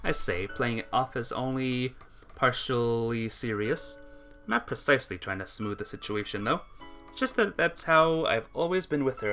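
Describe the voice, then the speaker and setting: A man reading a visual novel aloud as a let's play narrator, with expressive, earnest storytelling delivery. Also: mid-range voice.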